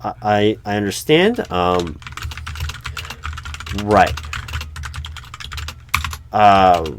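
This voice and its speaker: A man playing a patient but flustered, unobservant recruiting manager in a one-sided ASMR interview roleplay, speaking aloud in a halting, puzzled way. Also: lowish voice, very animated, exaggerated voice. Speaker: job interviewer. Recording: faint noise, computer keyboard typing clicks. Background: keyboard typing and clicks.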